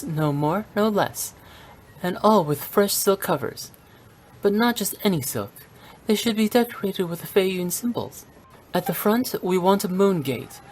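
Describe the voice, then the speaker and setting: Young female funeral director, quite low voice, businesslike, precise, courteous, faint noise, video game. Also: darkish tone, poor audio. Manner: measured, professional, precise. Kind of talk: English video game voice acting.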